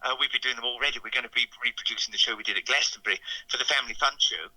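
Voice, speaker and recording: A man's voice: mid-range voice, rapid-fire, sharp tone, no room sound, poor audio. A man with a 1950s Received Pronunciation accent, a comedy entertainer talking as a radio interview guest in a plummy, theatrical way.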